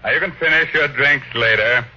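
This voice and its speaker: An actor playing a domineering, impatient millionaire industrialist with a brusque, commanding manner in an old-time radio drama. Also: male voice, mid-range voice, normal speed, lo-fi sound, a loud crowd, natural voice. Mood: assured, public. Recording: noisy, band-limited old radio recording.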